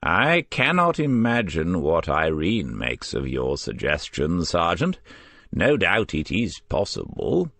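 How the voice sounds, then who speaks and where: A man voicing a proud, clever elderly care home resident in an audiobook, speaking in a formal, measured, wry manner. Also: sits low, wildly swooping pitch, vocal fry, a bit bright, no echo, heavily acted. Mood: amused.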